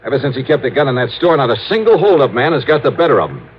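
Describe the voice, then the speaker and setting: A man's voice: mid-range voice, very animated, very fast, breathy edge. An actor playing a tough, self-assured investigator in a 1950s radio drama, pressing his points in a clipped way. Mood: insistent, persuasive. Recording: dull vintage radio recording.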